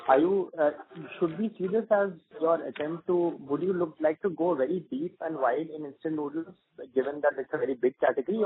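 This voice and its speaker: Husky man on a tinny phone line. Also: on the high side. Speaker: financial analyst caller. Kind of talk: questioning on an earnings call.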